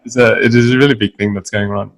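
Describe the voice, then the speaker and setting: Low-voiced male host. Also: fairly even intonation, quick pace. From Australia. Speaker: interview host. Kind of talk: casual interview talk.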